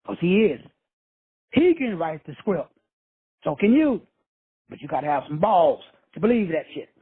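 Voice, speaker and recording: A man's voice: a little high, sing-song, moderate pace, husky, no room sound. A man from Texas hosting a call-in show, preaching profane motivation with swagger and slang. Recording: tinny phone line, dry.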